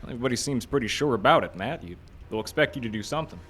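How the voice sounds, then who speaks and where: Radio western doc man acting: friendly, chatty, earnest, mid-pitched male voice. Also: expressive, very fast, fairly bright tone, breathy edge. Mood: earnest, insistent. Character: friendly, chatty frontier town doctor, a man. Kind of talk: live old time radio western acting.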